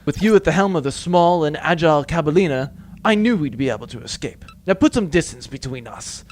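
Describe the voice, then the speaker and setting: A man's voice: fairly high pitch, expressive, a little fast, close to an everyday voice. A man as a roguish, dramatic space captain. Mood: satisfied. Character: roguish, dryly self-assured male space pirate captain. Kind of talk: comic sci-fi audio drama.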